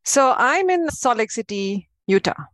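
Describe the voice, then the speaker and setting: A woman in her thirties from Malaysia, a podcast guest explaining her work in a measured way. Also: a little high, very animated, fairly bright tone, no room sound. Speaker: guest, AI advisor. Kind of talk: interview answers.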